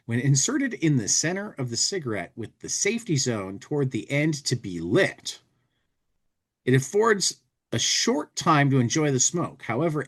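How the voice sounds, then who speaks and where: A man hosting a podcast, casually commenting on a humor magazine and reading parts of it aloud dramatically. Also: mid-range voice. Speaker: podcast host.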